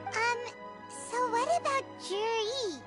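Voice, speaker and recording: A tiny fairy companion for a video game. The voice is extremely high, childlike and squeaky, bouncing around with eager curiosity. Music plays clearly behind it. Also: female voice, animated, slow, exaggerated voice. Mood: curious. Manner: refers to self in third person, perky.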